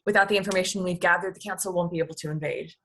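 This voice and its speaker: A fairly low-pitched female space agent, earnest and protective, played by a woman reading from a comedy script. Her delivery stays conversational. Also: steady tone, very fast, fairly bright tone. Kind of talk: table read of a comedy script.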